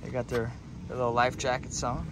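Young male boat vlogger, easygoing and chatty, on an action camera with clear wind and engine noise. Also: mid-range voice, brisk. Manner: relaxed, chatty, talking to his dogs. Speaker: fishing vlogger. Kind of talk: casual vlog narration. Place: small open boat on the water outdoors.

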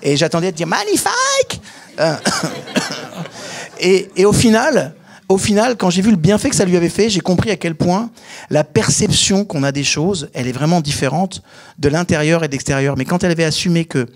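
A man, giving an animated talk into a handheld mic on a small theater stage before an audience. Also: high voice, moderate pace. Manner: animated, gesturing, addresses the audience directly. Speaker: speaker on a panel.

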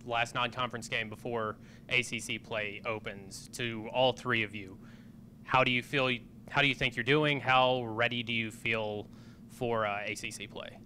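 A man's voice: medium pitch, fairly even intonation, normal speed, bright, forceful tone. A man, a reporter, asking direct questions at a press conference. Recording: noisy room ambience.

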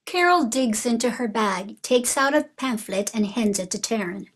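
A woman's voice: on the high side, animated, moderate pace, slightly rough. A woman narrator reading stage directions as scripted narration for a play reading.

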